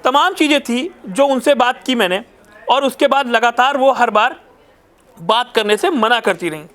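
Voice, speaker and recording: Male news reporter, extremely high pitch, insistent and repetitive commentary delivery, some halting self-correction. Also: animated, a bit bright. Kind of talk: news commentary to viewers, recounting calls.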